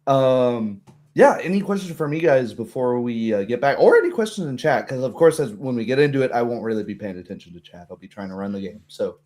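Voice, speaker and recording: A man chats casually as the host of an online tabletop game. His pitch moves around in a very animated way. He rambles and hesitates good-humoredly, breaking easily into laughter. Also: brisk. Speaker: host and game master of a streamed tabletop roleplaying game. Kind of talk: casual livestream chat.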